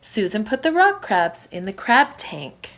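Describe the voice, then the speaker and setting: A woman reading a children's story aloud like a primary teacher, with expressive, emphatic phrasing for young listeners. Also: medium pitch, a little slow, slight background noise.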